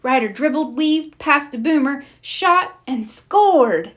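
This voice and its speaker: A woman reading a children's storybook aloud as narrator, in an expressive read-aloud style. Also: sits high, takes it slowly. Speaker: storybook reader.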